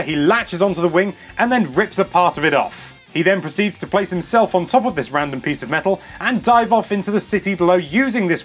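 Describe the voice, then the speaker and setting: A British man hosting a scripted countdown with an enthusiastic, cheeky manner. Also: high voice. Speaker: video host. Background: music.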